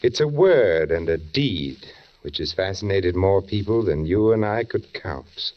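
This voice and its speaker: A man's voice: low voice, lively intonation, measured pace. An actor playing an urbane, sardonic newspaperman in a 1940s radio drama, with a suave, wry, teasing manner. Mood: wry curiosity. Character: urbane, sardonic newspaperman probing a murder case. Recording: dull 1940s radio recording.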